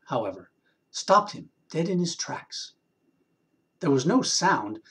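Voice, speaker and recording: A man reading a short story aloud in an expressive, wry storyteller's manner with deliberate pauses. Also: medium pitch. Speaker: story reader, actor.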